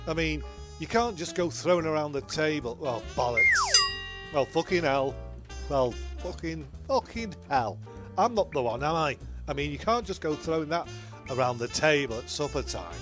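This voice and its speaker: Man playing a highish-voiced blustering bloke. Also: lightly acted. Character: blustering, sweary bloke among shipwrecked lads. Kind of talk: comic audio story.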